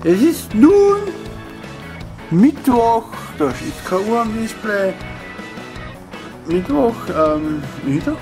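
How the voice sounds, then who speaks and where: Slow young man, very animated pitch. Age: young adult. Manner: playful, halting, repeating himself. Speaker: vlogger. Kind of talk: joking morning video diary. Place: indoor room. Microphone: camcorder at arm's length. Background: music.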